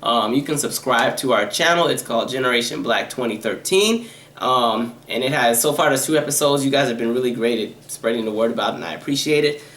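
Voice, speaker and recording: Fast-talking nasal man, echoey room. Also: fairly bright tone. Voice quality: high-pitched, nasal voice. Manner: talks fast and rambles, with many fillers and asides. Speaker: YouTuber answering viewer questions. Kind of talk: casual Q&A vlog.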